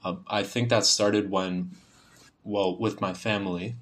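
A man's voice: lowish voice, unhurried. A young man from Ontario who grew up speaking Serbian, with a Canadian accent touched by Serbian, reading a passage carefully and then talking about himself. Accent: Canadian, faint Serbian. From Ontario, Canada, born in Bosnia. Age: young adult. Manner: even, careful reading; hesitant, filler-laden when talking freely. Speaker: university student, dialect archive speaker. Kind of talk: reading a set passage, then casual self-introduction.

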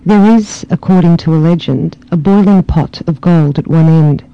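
A man with a Melbourne Australian accent, reading a short passage and then answering interview questions in a relaxed way, with frequent ums and you-knows. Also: on the high side, normal speed, clean tone, no echo. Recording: dull lo-fi archive recording.

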